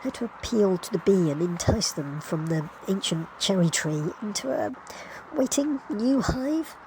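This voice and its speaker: A woman's voice: mid-range voice, vocal fry, noisy background. A woman reading wry, wordy scripted narration with arch, playful and florid phrasing.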